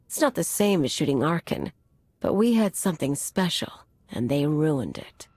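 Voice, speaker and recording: An actress playing a vengeful, brazen anarchist bomber in a video game, with a sardonic, taunting manner. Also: female voice, sits low, very animated, moderate pace, a little mellow, dry recording. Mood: persuasive, intense. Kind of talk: video game cutscene acting.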